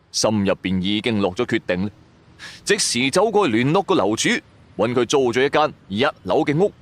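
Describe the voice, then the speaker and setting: A man narrating a scripted first-person audio drama in a colloquial, suspenseful storytelling manner. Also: medium pitch.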